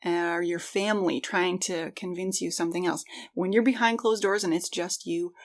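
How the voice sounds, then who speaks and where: A woman's voice: lowish voice, steady tone, moderate pace. A woman co-hosting a conversational podcast, speaking in an earnest, reflective way. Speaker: podcast co-host.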